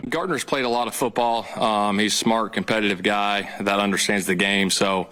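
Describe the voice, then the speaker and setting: A man answering press questions as a football coach, halting with many fillers. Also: fairly low pitch, steady tone, bright, forceful tone, rough recording.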